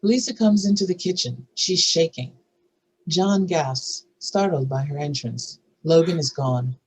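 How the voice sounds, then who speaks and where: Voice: mid-range voice, a little slow, husky, low-quality recording. A narrator reading screenplay stage directions and scene headings aloud at a scripted table read.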